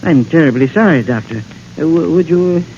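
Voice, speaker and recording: An actor playing an anxious, easily indignant but polite gentleman selling his house, in old-time radio drama style. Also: a little high, expressive, moderate pace. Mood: polite, uneasy. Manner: flustered, hesitant, formal. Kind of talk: 1940s-50s radio drama acting. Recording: dull, noisy vintage radio recording.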